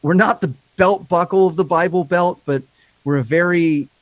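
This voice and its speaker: A man with a higher-pitched voice and a slight lisp, talking candidly and rambling in a casual podcast chat, swearing freely. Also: normal speed, dry recording.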